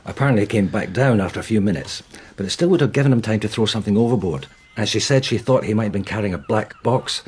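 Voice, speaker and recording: A very raspy, fairly low-voiced male detective for a radio crime drama. He is dry and resigned, laying out facts like a tired provincial policeman. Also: animated, fast talker, hardly any pauses. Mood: dry, reporting. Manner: matter-of-fact, resigned.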